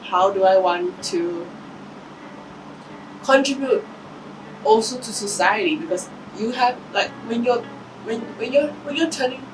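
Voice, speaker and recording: A woman's voice: on the high side, lively intonation, a little slow, long pauses, distant mic. A woman in her early twenties talking through a reflective personal vlog, thoughtful and self-correcting, with some casual swearing. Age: 20s. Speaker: vlogger. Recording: voice a bit off-mic with room noise.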